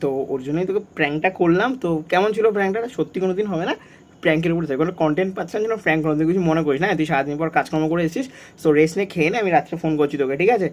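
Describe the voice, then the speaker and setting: A man's voice: fairly high pitch, rapid-fire. A young man, talking casually and insistently, often repeating himself, as in a vlog or phone call. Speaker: prank video creator.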